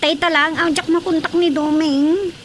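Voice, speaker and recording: Very high-pitched, tearful and shouting, an anguished mother in an Ilocano-accented radio play. Faint noise hums behind her. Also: steady tone, moderate pace. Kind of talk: radio drama acting.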